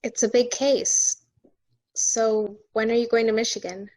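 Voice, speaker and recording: A woman's voice: a little high, little pitch movement, measured pace, a little mellow. A woman reading the part of a guarded, busy lawyer wife, curt and questioning, in a TV drama table read. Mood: guarded, dismissive.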